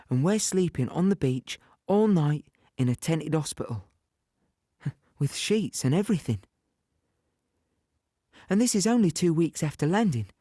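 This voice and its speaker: Mellow young wounded soldier. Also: male voice, fairly high pitch, no room sound, studio quality. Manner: conversational, halting, present-tense storytelling. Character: young wounded British infantryman recounting Normandy, plain-spoken, rueful. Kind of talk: first-person dramatic monologue in audio play.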